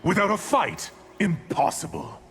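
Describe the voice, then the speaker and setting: An actor playing an arrogant, menacing evil sorcerer in a video game, with haughty, deliberate, theatrical speech. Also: male voice, sits high, gravelly, a bit bright, exaggerated voice. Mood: defiant, menacing. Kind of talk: dramatic video game villain acting. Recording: long hall reverb, music underneath.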